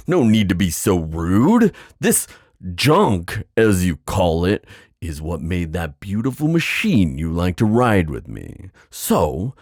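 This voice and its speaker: A man playing a playful, scheming god and younger brother in a scripted audio roleplay, with a teasing, theatrical, drawn-out delivery. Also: sits low, gravelly, a little mellow, no room sound, clean studio sound, heavily acted. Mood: playful, welcoming. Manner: teasing, theatrical, drawn-out phrasing with chuckles and sighs.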